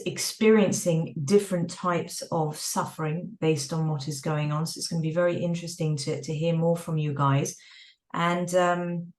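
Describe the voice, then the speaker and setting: London woman, low voice, roomy sound. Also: distant mic. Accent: London English. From London, England. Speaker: co-host. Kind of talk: explanatory talk to teens. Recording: roomy sound, a bit off mic.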